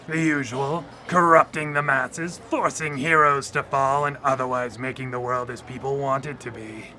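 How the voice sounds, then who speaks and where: An actor in a radio drama playing a smug, taunting tempter spirit who schemes to corrupt souls. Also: male voice, mid-range voice, fairly even intonation, normal speed, bright, forceful tone, a little hiss or hum. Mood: wry, smug. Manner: taunting, theatrical. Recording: live radio drama broadcast.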